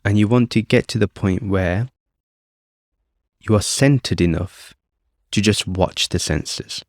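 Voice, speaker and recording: A man calmly leading a guided meditation as host, speaking off the cuff in short phrases with long pauses. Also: lowish voice, no echo, clean studio sound. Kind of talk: guided meditation, unscripted instruction.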